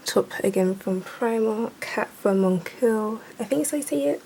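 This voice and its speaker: A young woman, chatting casually to camera at home about her day, a little croaky. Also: mid-range voice, moderate pace, a little hiss or hum, low-quality recording. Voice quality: slightly croaky in the morning. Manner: relaxed, chatty, thinks aloud. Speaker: vlogger. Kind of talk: casual vlog chat to camera. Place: bedroom at home.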